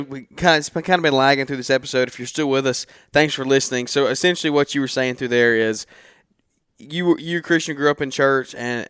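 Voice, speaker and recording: A man's voice: medium pitch, a bit bright, clear, resonant tone, no echo, clean studio sound. A man hosting a casual interview podcast, speaking in an informal, effusive and encouraging way. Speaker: podcast host.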